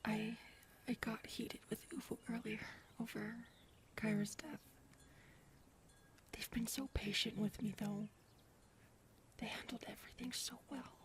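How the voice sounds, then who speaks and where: A woman acting in an intimate sci-fi audio roleplay as a gentle, grieving character, speaking softly and haltingly, often close to a whisper. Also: on the high side, fairly even intonation, slight background noise, close to an everyday voice. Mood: subdued, confessional. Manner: halting, soft, hesitant, often dropping to a whisper.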